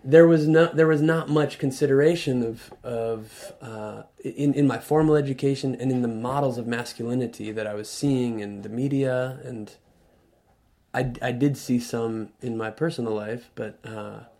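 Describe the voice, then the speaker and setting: Man, radio studio guest, earnest, halting, restarts often. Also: medium pitch, fairly even intonation. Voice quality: loud voice. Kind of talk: reflective conversational talk.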